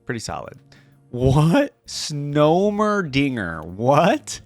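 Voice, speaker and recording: A man's voice: on the high side, huge pitch swings, extremely slow, no room sound, faint music behind. A man giving casual reaction commentary as a video creator looking over game content.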